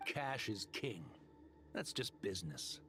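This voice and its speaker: An actor playing a greedy, ruthless desert arms dealer in a video game, with a persuasive, cocky, slangy way of talking. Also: male voice, on the low side, sing-song, slow, background noise, heavily acted. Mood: callous, brash. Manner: persuasive, cocky, casual slangy drawl of a salesman. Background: game music.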